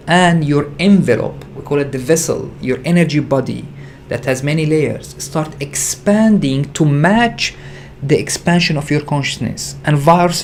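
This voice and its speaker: A man's voice: fairly high pitch, a little hiss or hum. Earnest man hosting a spiritual livestream. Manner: earnest, repetitive, searching phrasing with frequent hesitations. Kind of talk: unscripted spiritual teaching talk.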